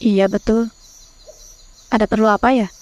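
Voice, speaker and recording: A woman's voice: mid-range voice, fairly even intonation, normal speed, darkish tone, voice pushed down, close to an everyday voice. A woman voicing a hostile, greedy young stepmother in a horror cartoon, giving curt, dismissive orders.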